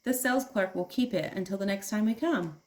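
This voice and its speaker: A woman voicing a gentle, patient father kangaroo in a children's storybook, with an encouraging manner. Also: medium pitch, fairly quick, close to an everyday voice. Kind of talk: children's storybook read-aloud.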